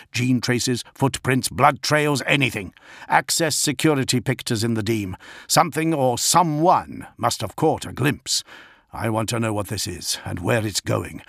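A man voicing a noble, stern warlord in an audiobook, formal, measured and commanding. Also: medium pitch, vocal fry, fairly bright tone, no room sound, studio quality, heavily acted. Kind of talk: dramatic audiobook character.

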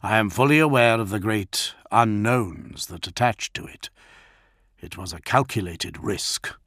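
A man voicing a weary male commander who reasons in a measured, firm manner. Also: fairly low pitch, a bit bright, no room sound, clean studio sound.

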